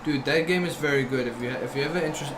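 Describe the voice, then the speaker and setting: A man's voice: mid-range voice, racing, noisy background. A young man streaming a game, chatting casually and rambling with his viewers. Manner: rambling, laid-back, uses bro and dude. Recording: loud game music behind the voice. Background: loud video game music.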